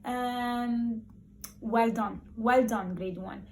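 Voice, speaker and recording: A woman primary school teacher speaking to young children in an encouraging, expressive way, repeating words for emphasis as she talks and reads aloud. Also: a little high, extremely slow, slight background noise.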